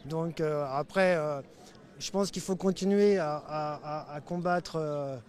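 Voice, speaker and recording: A man's voice: a little high, a little slow, a little mellow. A man who is a local elected official, from France, answering a street interview casually with many fillers. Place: outdoors in a city.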